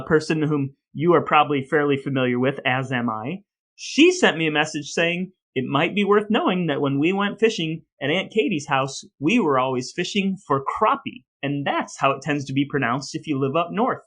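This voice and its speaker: A man's voice: on the high side, expressive, normal speed, a bit bright. A man hosting a podcast with playful, mock-formal wordiness, moving between scripted lines and casual banter. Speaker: podcast host.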